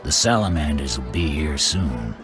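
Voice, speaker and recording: A proud, stern rebel leader in a fantasy game. His voice is exceptionally deep, his manner grave and blunt. Music is clearly heard behind him. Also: animated, normal speed. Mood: grave warning. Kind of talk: video game voice acting.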